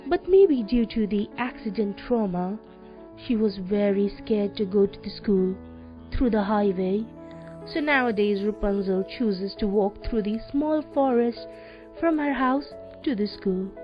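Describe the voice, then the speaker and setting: A woman with a Nepali accent hosting a children's radio show and reading stories aloud in a deliberate, clearly phrased way for young listeners. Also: mid-range voice. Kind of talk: read-aloud children's storytelling, radio presenting. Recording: dull lo-fi radio audio with music. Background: music.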